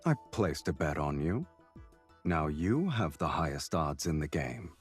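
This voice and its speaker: An extremely low-voiced crime lord from a romance game. He drawls with smug sarcasm and teases as if he always has the upper hand. Soft music plays underneath. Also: sing-song, heavily acted. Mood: coolly confident. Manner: slow, sarcastic, teasing drawl. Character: sardonic, smug crime lord with an eerie power. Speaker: game character. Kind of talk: English voice acting in a romance game.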